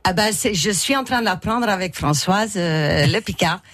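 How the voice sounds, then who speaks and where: A woman in her sixties from Flemish Belgium, speaking French with a Flemish accent as a second language, chatting as a radio guest and searching for words with many fillers and pauses. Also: medium pitch, lively intonation, a bit bright, dry recording, clean studio sound. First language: Dutch. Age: 60s. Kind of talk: radio interview chat.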